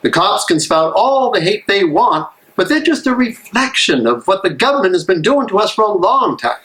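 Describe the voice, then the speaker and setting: Man playing an earnest, higher-voiced weary minister. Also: very animated, normal speed, a bit bright, rough recording. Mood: aggrieved, earnest. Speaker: actor in a dramatic play reading. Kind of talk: live dramatic stage reading.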